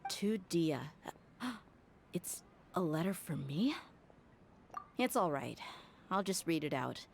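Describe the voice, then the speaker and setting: A woman's voice: sits low, big pitch swings, slow pace, gravelly, a little hiss or hum, heavily acted. A woman voicing a tough, frank, grieving mercenary woman in video game dialogue. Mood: moved.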